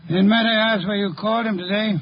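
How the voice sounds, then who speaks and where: An actor playing a brilliant, imperious detective in 1950s radio drama style, ponderous, precise and dryly sardonic. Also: male voice, high voice, steady tone, fast. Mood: assured, accusing. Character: brilliant, eccentric, unflappable older male detective, imperious. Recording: dull, noisy 1950 radio recording.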